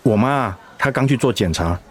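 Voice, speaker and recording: A man voicing a dutiful middle-aged son and schoolteacher in a radio drama, speaking in a steady, subdued way. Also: medium pitch, fairly quick, husky. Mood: somber.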